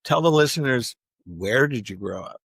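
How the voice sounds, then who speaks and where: A man's voice: medium pitch, lively intonation, moderate pace, vocal fry, a bit bright, breathy edge, dry recording. Man hosting a podcast interview. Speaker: podcast host. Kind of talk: interview questions and casual chat.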